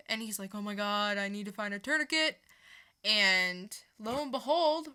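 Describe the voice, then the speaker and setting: A woman's voice: on the high side, pushed and bright. A woman co-hosting a podcast, chatting casually through a comic book review and retelling it with casual swearing. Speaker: podcast co-host.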